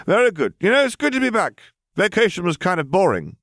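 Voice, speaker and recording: A man's voice: sits high, wildly swooping pitch, fairly quick, a bit bright, dry recording, studio quality, pitched above the performer's own voice, full character voice. A man voicing a breezy young girl who plays at being a crime boss, in a casual, playfully grandiose manner. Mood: breezy, pleased.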